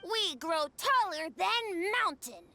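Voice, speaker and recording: An actress voicing a small, childlike, excitable tanuki yokai in a video game, exclaiming in short, broken third-person phrases. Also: female voice, very high voice, slow, a little hiss or hum, exaggerated voice. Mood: proud, gleeful. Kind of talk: English game voice acting.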